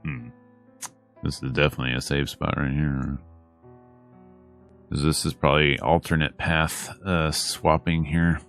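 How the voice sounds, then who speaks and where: A man's voice: very deep voice, level tone, measured pace, pauses often, fairly bright tone. A man streaming a visual novel, reading it aloud with wry, casual and exasperated asides. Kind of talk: let's play commentary and dramatic read-aloud. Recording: music playing behind.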